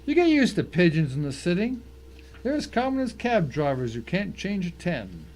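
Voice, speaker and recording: Higher-voiced man narrating dramatically. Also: very animated, normal speed, darkish tone. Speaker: story narrator. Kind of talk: dramatic first-person audiobook narration. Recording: faint noise and ambience behind.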